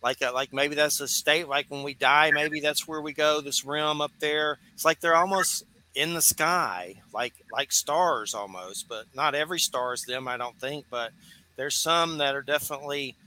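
A man's voice: on the high side, moderate pace, pushed and bright. A man hosting an interview, asking curious, rambling questions full of fillers. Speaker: host. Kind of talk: interview conversation.

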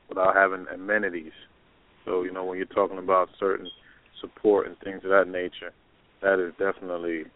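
A man who performs poetry, talking casually and haltingly in a panel discussion over a phone line. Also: low-pitched. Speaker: poet and showcase promoter. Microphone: telephone. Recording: tinny phone-line call-in audio.

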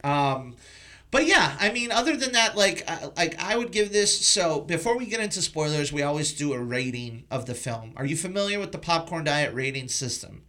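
A man's voice: on the high side, sing-song, moderate pace, pushed and bright. Guy hosting a movie podcast, just chatting casually, loose and informal. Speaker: podcast host. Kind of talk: casual film review chat.

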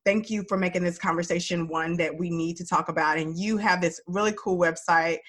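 A woman's voice: fairly low pitch, steady tone, a little fast, a bit bright. A woman hosting an upbeat podcast interview, a bit stuffy and nasal from a cold, talking in an enthusiastic, encouraging way. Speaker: podcast host.

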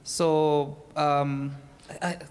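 A man's voice: on the high side, level tone, drawn-out words, a little mellow. Guy on stage, kinda shy n self-conscious, little noise behind him. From Murang'a, rural Kenya. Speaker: audience guest. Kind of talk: candid personal talk on stage. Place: live event with an audience.

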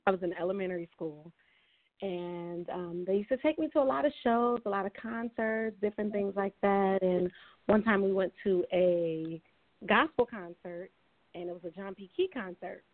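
A woman's voice: medium pitch, normal speed, no room sound. Woman singer on a tinny phone line. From California. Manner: relaxed, laughs often, tells stories. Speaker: guest singer. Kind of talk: casual interview chat. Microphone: phone call.

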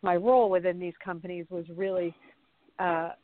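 Chatty woman interview guest, first language French, lowish voice, phone-quality audio. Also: fairly even intonation, moderate pace, dry recording. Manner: chatty, laughs easily, many fillers. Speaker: podcast guest, fashion founder. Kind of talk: conversational interview.

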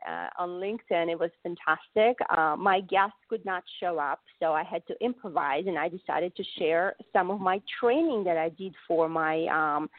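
A woman's voice: lowish voice, no echo. A woman guest, an empowerment coach, speaking earnestly and enthusiastically in a live online interview. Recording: dry, phone-like narrow audio.

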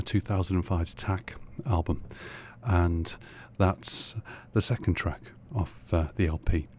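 Deep-voiced rambling male radio host. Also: darkish tone, slight background noise. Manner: rambling, halting, many fillers. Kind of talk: casual music introductions.

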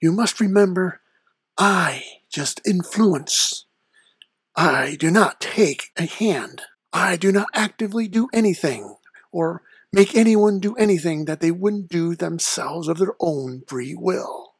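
A performer playing a calm, cryptic devil in a comedy podcast interview, with a husky rasp and slow, measured pronouncements. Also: mid-range voice, no room sound. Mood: aloof, cryptic. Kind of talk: character interview in audio comedy.

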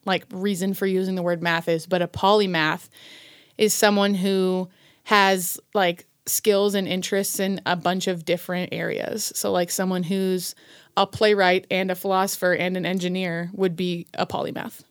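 A woman's voice: on the low side, flat delivery, moderate pace, smooth, dry recording, studio quality. An American woman co-hosting a casual chat in an easygoing, agreeable manner. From United States.

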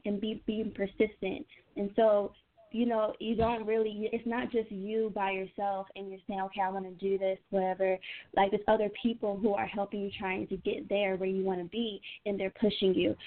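A young woman with an American accent talks as an interviewed singer over a tinny, phone-like connection. Her voice sits at a medium pitch. She is informal and earnest, often repeating phrases as she explains herself. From United States, Atlanta area. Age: young adult. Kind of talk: casual interview chat. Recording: tinny phone-line sound.

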